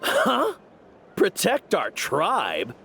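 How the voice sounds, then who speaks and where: A man's voice: high voice, wildly swooping pitch, very slow, vocal fry, a bit bright, a little hiss or hum, heavily acted. A man voicing a scornful, distrustful tribesman in a video game, sneering and accusing.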